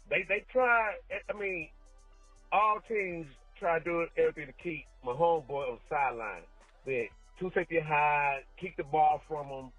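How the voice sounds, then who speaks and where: A man in a casual, slangy sports rant on a voicemail, exclaiming and colloquial as an animated fan. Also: fairly high pitch, breathy edge, no room sound. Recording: muffled voicemail, music behind.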